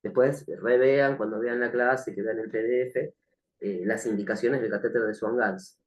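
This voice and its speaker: A man teaching a recorded nursing class, slightly hoarse from the flu, speaking hesitantly with many fillers and frequent check-ins with the listener. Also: mid-range voice, steady tone, normal speed. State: has a cough from the flu. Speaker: nursing instructor. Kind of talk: recorded online lecture.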